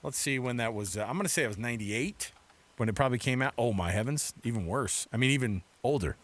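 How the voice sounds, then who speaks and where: A man's voice: medium pitch, lively intonation, quick pace. A middle-aged American man hosting sports radio in a casual, chatty, rambling way from a studio mic. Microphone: close studio microphone.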